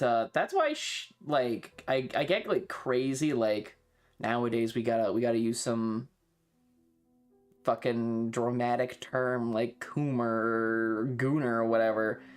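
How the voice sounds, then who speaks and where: A man chatting casually on a livestream, rambling and halting, swearing freely as he talks. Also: medium pitch, faint music behind. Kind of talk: casual rambling stream chat.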